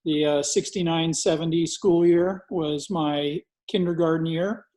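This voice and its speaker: A man in his fifties speaking in a measured, orderly way on a casual video call. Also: a little high, fairly even intonation, darkish tone. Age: 50s.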